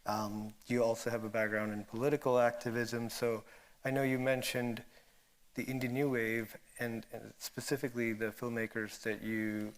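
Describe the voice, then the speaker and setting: Halting, mid-pitched male panel moderator. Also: level tone. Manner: halting, tentative phrasing full of pauses. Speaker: moderator, filmmaker.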